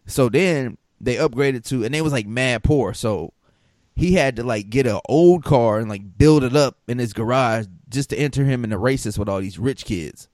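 A man's voice: mid-range voice, moderate pace, darkish tone, dry recording, studio quality. A man co-hosting a podcast in casual chat, telling stories in loose slang with lots of fillers. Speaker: podcast co-host.

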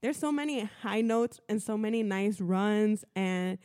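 A woman's voice: medium pitch, a little slow, warm tone, smooth. Young woman interviewer, slangy and agreeable. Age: young adult. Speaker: interviewer, music producer. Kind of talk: casual chat interview.

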